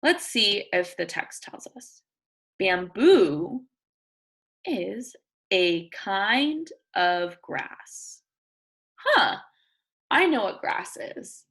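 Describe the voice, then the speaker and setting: A woman reading a nonfiction book aloud as a teacher of young children, expressive and deliberate, often prompting listeners with questions and thinking out loud in simple explanations. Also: medium pitch, slightly rough.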